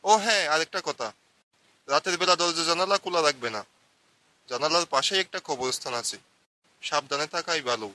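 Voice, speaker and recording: A man's voice: fairly high pitch, fairly quick, pauses often, pushed and bright, rough recording. A man voicing a hospitable, cautioning older uncle in a cartoon, with a fatherly manner. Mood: fatherly, cautious.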